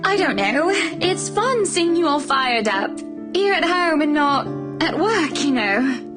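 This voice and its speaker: A woman playing a sweet, playful, wistful young wife in a visual novel, gentle and teasing. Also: sits high, big pitch swings, takes it slowly, full character voice. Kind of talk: visual novel character acting. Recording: guitar music behind the voice.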